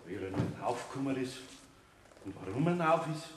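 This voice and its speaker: A man's voice: medium pitch, lively intonation, brisk, roomy sound, noisy background, natural voice. A man playing a good-hearted, flustered older craftsman with a Bavarian accent, hesitant and muttering, in a children's radio play. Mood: worried muttering.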